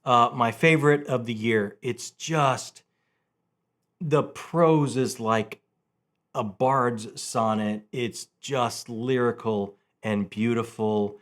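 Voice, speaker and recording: A man hosting a casual, enthusiastic book vlog, talking in an animated way with halting restarts and repeated words. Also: medium pitch.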